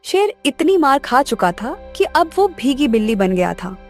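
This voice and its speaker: A woman's voice: sits high, very animated, moderate pace, faint music behind. A woman narrating a scripted children's moral story.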